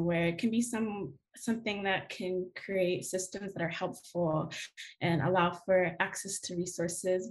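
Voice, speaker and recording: A young woman answering interview questions in a thoughtful, hesitant way, with many pauses and fillers. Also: on the low side, low-quality recording. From United States. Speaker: guest.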